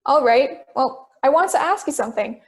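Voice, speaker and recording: A woman's voice: high voice, animated, moderate pace. A woman playing a persistent, logical juror with a pointed, questioning manner in an amateur audio drama. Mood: pressing. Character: persistent, logical juror who challenges the others.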